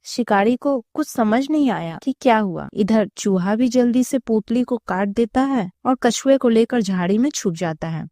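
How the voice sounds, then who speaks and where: A woman's voice: fairly high pitch, darkish tone, no echo, studio quality. A woman narrating a scripted story for children as a storyteller.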